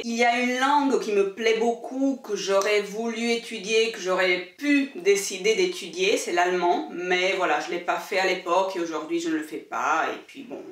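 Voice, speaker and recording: A woman whose first language is Italian, chatting through viewer questions in French at a middle pitch. She talks thoughtfully, with frequent pauses and repeated words. Her voice sounds somewhat distant from the microphone, with noticeable room echo. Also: fairly bright tone. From Italy. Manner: thoughtful, frequent hesitations and repetitions. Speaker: book channel host. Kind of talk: casual answers to viewer questions.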